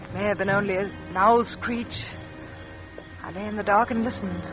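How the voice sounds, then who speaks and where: An actress playing an anxious, devoted young wife haunted by fear, hesitant and questioning, in an old radio drama. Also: female voice, medium pitch, fairly even intonation, moderate pace, fairly bright tone, soft background music, natural voice. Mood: haunted, confiding. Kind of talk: 1940s radio drama acting. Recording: dull old radio recording.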